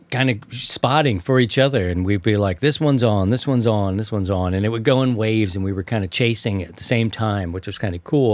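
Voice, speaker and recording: A man's voice: lowish voice, no echo. A man hosting an amateur radio show, telling anecdotes in a casual, slangy way and acting out remembered exchanges. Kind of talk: casual anecdotal storytelling to co-hosts.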